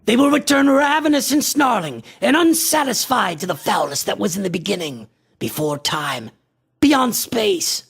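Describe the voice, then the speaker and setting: A man's voice: high-pitched, very animated, moderate pace, creaky, fairly bright tone, breathy edge, full character voice. A male actor playing a frantic, obsessed occultist in a horror radio play, declaiming in a theatrical, grandiose style. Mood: grim resolve.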